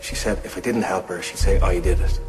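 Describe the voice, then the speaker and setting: An actor playing a shaken, remorseful man confessing haltingly on the witness stand in an audio drama. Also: deep voice, fairly even intonation, over music, lightly acted. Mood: shaken, guilty. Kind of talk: audio drama courtroom acting.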